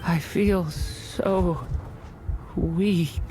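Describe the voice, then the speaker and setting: Lost little dragon boy for a game, slow unsure questions, music loud underneath. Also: fairly low pitch. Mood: faint, lost. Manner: halting, questioning. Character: fading young boy dragon, unsure and searching. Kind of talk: video game voice acting.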